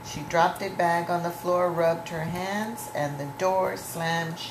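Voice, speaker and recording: Slow, lowish-voiced woman reading a story. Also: fairly even intonation. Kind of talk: expressive storybook read-aloud to children. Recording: echoey room with clear background noise.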